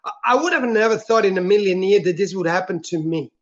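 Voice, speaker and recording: A man from Switzerland speaks as an interview guest, his voice pitched high. He tells his personal story earnestly and reflectively, in a soft-spoken manner. The recording sounds dull and lo-fi. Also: quick pace.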